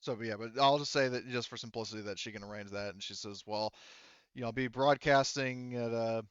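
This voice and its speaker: A man working as game master, narrating a tabletop roleplaying game in a halting, informal way. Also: medium pitch, clean tone, no room sound, lo-fi sound. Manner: halting, informal, talks around points.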